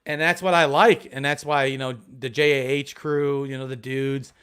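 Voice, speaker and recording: A man from Ohio chatting as a guest on a casual live stream about metal detecting, excitable and full of restarts. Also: mid-range voice, normal speed, smooth. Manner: excitable, rambling, many restarts.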